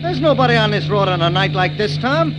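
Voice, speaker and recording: A man's voice: high voice, animated, fairly quick, lo-fi sound. An actor playing a wary working-class armored truck crewman, slangy and folksy, in a 1940s radio crime drama. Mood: uneasy. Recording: very noisy old radio, engine drone.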